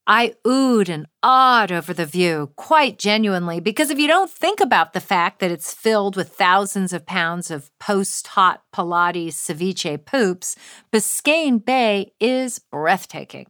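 A woman's voice: mid-range voice, animated, unhurried, fairly bright tone, clear, resonant tone, dry recording. A woman writer reading her own first-person essay aloud with wry, dry humor.

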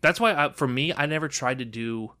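Bright-voiced frank podcast host. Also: male voice, mid-range voice, brisk, dry recording, studio quality. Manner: chatty, frank, stumbling restarts. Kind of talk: casual chat.

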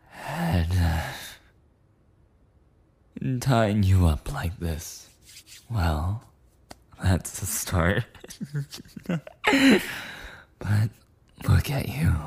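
Casting: male actor as obsessive, needy boyfriend for ASMR roleplay; low, gravelly voice, intimate rambling that drops into whispers. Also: darkish tone, full character voice. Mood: adoring, guilty. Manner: breathy rambling, often dropping into whispers. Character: clingy, obsessive, insecure possessive yandere boyfriend.

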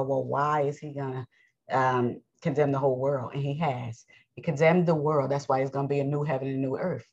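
A raspy, deep-voiced woman from the United States teaches scripture with emphatic, preachy force. Her strained voice stays low, and she keeps clearing her throat. The recording sounds dull and lo-fi. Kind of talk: bible lesson with commentary.

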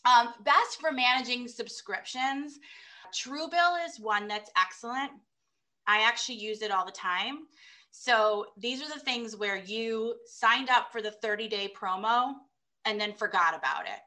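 A woman guest in an interview, brisk and explanatory as she gives money advice. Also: on the high side, pushed and bright. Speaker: guest, financial adviser.

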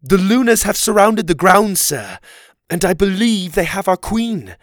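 A desperate, earnest fairy soldier, voiced by a male narrator, pleading in a raised pitch. The storybook delivery is urgent and swoops up and down. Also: lively intonation, measured pace, vocal fry, no room sound, clean studio sound, heavily acted.

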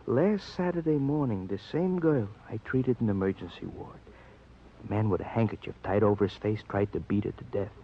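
Man playing a solemn low-voiced city doctor. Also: close to an everyday voice. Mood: grave, concerned. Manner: measured, grave, quaintly inverted phrasing. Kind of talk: old-time radio drama acting. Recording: muffled old radio, faint noise.

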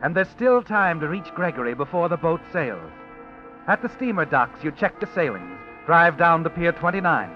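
A man's voice: fairly high pitch, big pitch swings, moderate pace. A man narrating a radio drama in an intense, insinuating second-person style, on a muffled old radio recording with music underneath.

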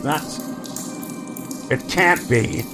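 An actor playing a wry, plain-spoken detective in a radio drama, with a dry and down-to-earth manner. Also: male voice, medium pitch, extremely slow, music in the background. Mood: surprised.

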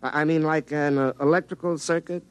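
A man's voice: on the high side, fairly even intonation, brisk, clean tone, dull audio, natural voice. An actor playing a folksy young American telephone linesman and tinkerer in classic radio drama, stammering and correcting himself as he rambles. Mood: flustered, coaxing. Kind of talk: classic radio drama acting and first-person narration.

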